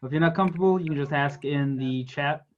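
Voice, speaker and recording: A man's voice: on the high side, a little fast, slight background noise. A man moderating an online meetup in casual chat, speaking over a call. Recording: dull lo-fi online call audio.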